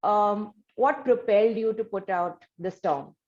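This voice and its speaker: A woman's voice: medium pitch, a little slow, a little mellow, highs cut off. A woman with an Indian accent hosting a conversational interview. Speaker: interview host.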